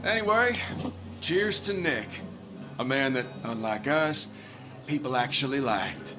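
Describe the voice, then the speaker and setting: A man's voice: mid-range voice, lively intonation, a little slow, over music, lightly acted. Man playing a sardonic, cynical small-town drunk. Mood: wry, bitter. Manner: blunt, sardonic, teasing.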